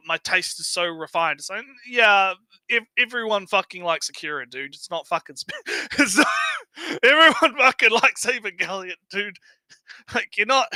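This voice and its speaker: A man's voice: high-pitched, pushed and bright, dry recording. A man giving blunt, sweary, mocking commentary as a casual stream host. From New Zealand. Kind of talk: casual critical commentary on a video.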